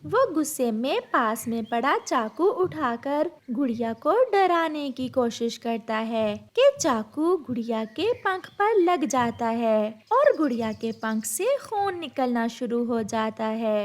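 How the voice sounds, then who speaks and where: A woman narrator reading a scripted children's story. Her voice is high and her pace is slow and measured. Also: lively intonation.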